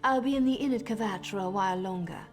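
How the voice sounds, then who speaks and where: A woman voicing a blunt, scornful dark elf assassin in a game. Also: mid-range voice, quick pace, big-room sound, faint music behind, close to an everyday voice.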